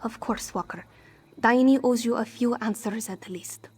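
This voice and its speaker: Proud cat-woman smuggler, fairly high voice, sardonic, theatrical, fantasy game dialogue, music behind. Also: normal speed, breathy edge. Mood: wry, resigned. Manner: theatrical, sardonic, speaks of herself in third person. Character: wry, proud cat-folk woman smuggler, stubbornly vengeful.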